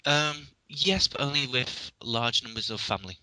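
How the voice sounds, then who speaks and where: Hesitant Liverpool man, bright and dry. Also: medium pitch, normal speed, no room sound, rough recording. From England. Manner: hesitant, restarts often, dry humor. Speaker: podcast guest. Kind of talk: casual chat.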